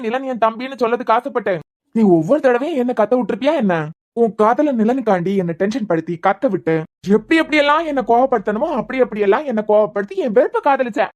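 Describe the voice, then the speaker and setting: A woman's voice: a little high, lightly acted. A woman voicing a bitter, hurt young husband, sarcastic and repeating words in anger. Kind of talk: amateur audio drama.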